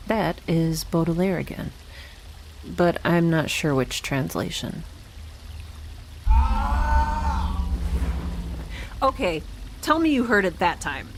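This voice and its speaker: Casting: actress for audio drama space scientist, weary and wry, lowish voice, halting, unhurried delivery. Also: female voice, lively intonation, background noise. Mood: dreamy. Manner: hesitant, stammering, wry. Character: weary, rambling young woman scientist stranded in space. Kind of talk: naturalistic audio drama acting.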